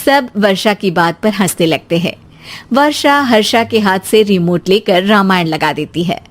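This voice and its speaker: A woman's voice: mid-range voice, unhurried. A woman narrating a scripted moral story as a storyteller.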